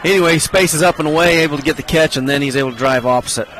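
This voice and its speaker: A man giving color commentary at a high school basketball game, explaining spacing, screens and rebounds like a coach. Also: very fast, fairly bright tone, poor audio. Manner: coach-like breakdown of plays and spacing. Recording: game broadcast with gym noise. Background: crowd and court noise of the game.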